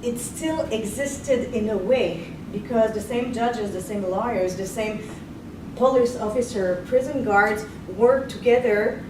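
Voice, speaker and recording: A young woman giving a history lecture, partly read from notes, measured and earnest, stopping now and then to rephrase. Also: fairly high pitch. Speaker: guest lecturer. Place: lecture room. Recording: echoey room, far mic, background noise.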